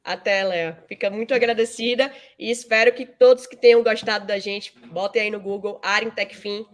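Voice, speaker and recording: A woman at a medium pitch. She answers as an interview guest in a conversational, explanatory way. Little tag questions are sprinkled through her phrases. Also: steady tone, normal speed. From Salvador, Bahia, Brazil. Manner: frequent 'né' tags, explanatory. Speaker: interview guest, fintech founder and CEO.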